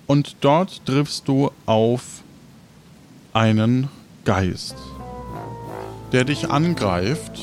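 Man as game master, soft music behind. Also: mid-range voice, expressive, drawn-out words, long pauses. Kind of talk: improvised game narration.

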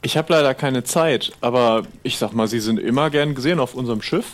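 A man's voice: mid-range voice, brisk. A man speaking casually and politely, a little tentative, as a player improvising in a role-play.

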